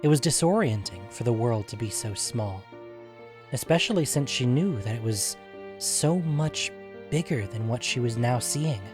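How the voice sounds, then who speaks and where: A man narrating a scripted fantasy story in a measured, deliberate, dramatic way. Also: mid-range voice, lively intonation, over music. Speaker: narrator and host of an audio fiction show.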